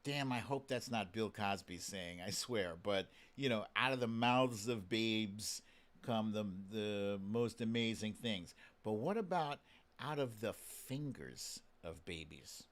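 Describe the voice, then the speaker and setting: A man's voice: lowish voice, lively intonation, slow. A man hosting a live stream in casual, playful commentary, chatting to viewers in slangy phrases that he often repeats.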